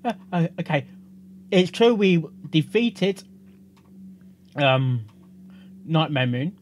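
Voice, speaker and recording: A woman hosting a casual reaction video, halting as she comments and echoes what she hears. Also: low-pitched, expressive, darkish tone, slight background noise.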